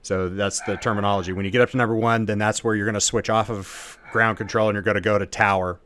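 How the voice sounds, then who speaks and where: A man's voice: on the low side, fairly even intonation, fast, fairly bright tone, slight background noise. A man teaching as an instructor in an informal, conversational way, explaining things step by step with technical jargon. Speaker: host and instructor of an aviation lesson.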